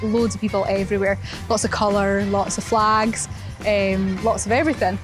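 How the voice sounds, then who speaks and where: Young woman, Scottish accent, chatty, lots of ums, outdoors in a plaza, mid-pitched voice. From Perth, Scotland. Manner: chatty, many ums and restarts. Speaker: local Pride organiser, interview guest. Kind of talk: casual spoken interview and walking tour. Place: outdoors in a sunny town plaza.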